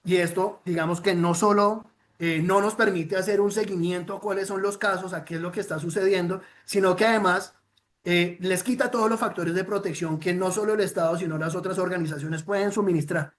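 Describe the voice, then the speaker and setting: A man's voice: high voice, steady tone, brisk. A man with a Colombian accent giving a formal, earnest presentation on a report, with frequent "eh" fillers and restarts. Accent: Colombian Spanish. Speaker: presenter of a child protection report.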